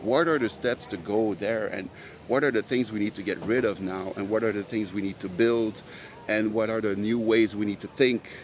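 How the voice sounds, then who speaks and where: A man's voice: lowish voice, fairly even intonation, normal speed, slight background noise. A man whose first language is French, hosting his own podcast in an earnest, rambling solo monologue full of fillers. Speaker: podcast host.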